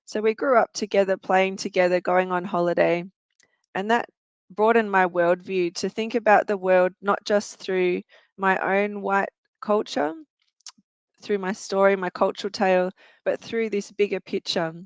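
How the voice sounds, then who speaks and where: Australian woman in her 40s, reflective guest storyteller, smooth low-ish voice, dry close sound. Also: steady tone, moderate pace, dry recording. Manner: earnest and reflective, with some fillers. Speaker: guest, youth worker and researcher. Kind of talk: personal storytelling in a talk show.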